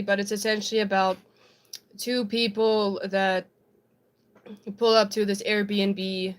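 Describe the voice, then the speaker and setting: A young woman from South Africa, raised speaking Afrikaans, who talks with an American-sounding accent. She chats loosely with her stream audience, giggling often and filling gaps with ums and likes. Her voice sits at a middling pitch for a woman. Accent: American-sounding English. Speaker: livestreamer. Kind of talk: casual chat reading viewer comments.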